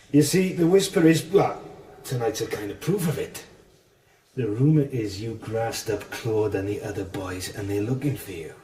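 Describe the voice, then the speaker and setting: An actor playing a cautious, businesslike criminal financier in a radio drama, speaking in a measured, guarded, rather formal way. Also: male voice, mid-range voice, dark tone, natural voice. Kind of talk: radio crime drama acting. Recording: echoey space, distant from mic.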